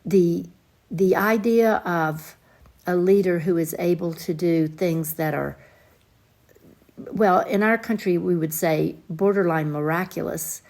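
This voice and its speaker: Slow-talking woman Bible teacher, creaky voice. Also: on the low side, darkish tone. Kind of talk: informal Bible lesson with scripture reading.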